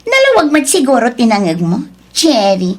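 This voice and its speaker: Woman acting pushy young filipina mistress, audio drama, high voice, really animated, confrontational. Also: normal speed. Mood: defiant, demanding. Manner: insistent, confrontational. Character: brazen, demanding young mistress confronting her lover's wife.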